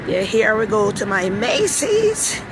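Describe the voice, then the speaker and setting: A woman's voice: mid-range voice, sing-song, slow. A woman from Jamaica with a Jamaican accent, hosting a rambling, chatty live stream from a busy city station area and greeting viewers by name. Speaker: talk show host. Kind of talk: casual live-stream chat. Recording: loud street and traffic noise.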